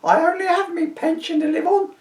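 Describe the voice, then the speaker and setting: Man putting on a shrill, plaintive old lady. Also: fairly even intonation, a little fast, vocal fry. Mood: shocked, pleading. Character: frail, pleading hundred-and-three-year-old woman pensioner. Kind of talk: comic children's storybook voice. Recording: roomy echo, distant microphone.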